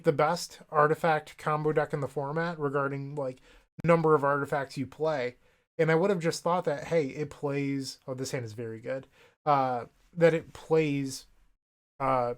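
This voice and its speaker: Sniffly man narrating a card game. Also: fairly high pitch. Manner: thinks aloud, wry, self-deprecating asides. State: sniffly from a cold. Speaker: gaming content creator. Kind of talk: casual gameplay commentary.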